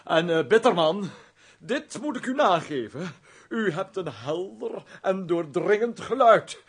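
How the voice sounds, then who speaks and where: A man's voice: high-pitched, lively intonation, a little slow, slightly rough, exaggerated voice. A man playing a fussy, pompous middle-aged count in a radio play, grumbling and imperious. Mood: imperious, wry.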